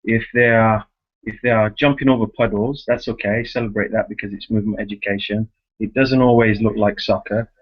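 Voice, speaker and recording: A man's voice: on the low side, steady tone, brisk. Man on a muffled conference call. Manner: emphatic, repeats words and seeks agreement with tag questions. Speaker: host, youth soccer club director. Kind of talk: conversational interview on a conference call.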